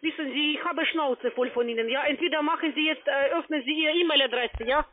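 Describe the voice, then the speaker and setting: A woman's voice: sits high, fairly quick. A woman with a foreign accent making a pushy, insistent and impatient sales call as a call center agent. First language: not German. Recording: tinny phone line, call-center chatter.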